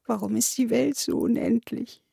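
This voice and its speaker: A woman's voice: fairly low pitch, steady tone, measured pace, gravelly, darkish tone, husky, no room sound, studio quality, exaggerated voice. A woman voicing a drunk, despairing young male student in an audiobook, plaintive and childish, with slurred, tearful speech. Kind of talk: audiobook character acting.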